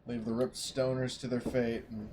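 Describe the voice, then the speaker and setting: A man playing a tabletop roleplaying game, haltingly narrating what the character does and says in a casual way. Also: mid-range voice, level tone. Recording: home recording with faint background noise.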